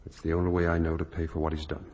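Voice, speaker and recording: An actor playing an earnest, morally outraged young son in 1950s radio drama, with a husky voice and intense delivery. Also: male voice, a bass voice, level tone, very fast, darkish tone, a little hiss or hum. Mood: grave, aching. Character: earnest, morally outraged grown son confronting his parents.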